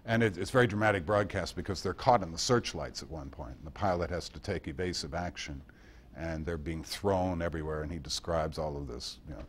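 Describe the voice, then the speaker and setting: A man giving an informal history lecture at a club meeting in a halting manner, recorded by an amateur. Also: deep voice, a little hiss or hum. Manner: halting, with pauses and quoted passages read aloud. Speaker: guest speaker at a club meeting.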